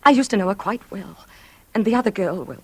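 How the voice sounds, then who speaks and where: A woman's voice: medium pitch, lively intonation, fast, a little mellow, slightly rough. An actress playing a lonely, secretive woman who is frightened yet coldly resentful, in a vintage radio thriller style. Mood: frightened, pleading. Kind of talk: 1940s radio thriller acting, confiding narration and dialogue. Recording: vintage radio drama with faint music.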